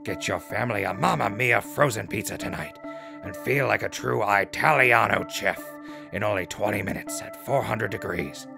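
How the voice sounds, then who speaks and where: A wry wasteland broadcaster played by a man talks in dry radio patter. His voice is low and heavily gravelled, with clear music behind it. The style is audio drama. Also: moderate pace. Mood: salesman patter. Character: wry, sardonic male wasteland radio host.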